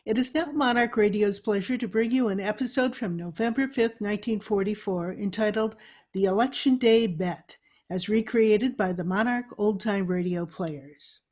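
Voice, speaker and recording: A woman's voice: mid-range voice, moderate pace, darkish tone. A woman reading a scripted old-time radio introduction as the show's announcer.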